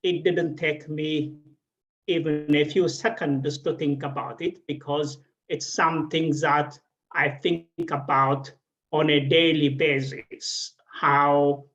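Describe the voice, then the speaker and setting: A middle-aged man with an Ethiopian accent, a guest speaker at an online event, telling his personal story haltingly with frequent pauses. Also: on the high side, fairly bright tone, lo-fi sound. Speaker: regional director, guest speaker.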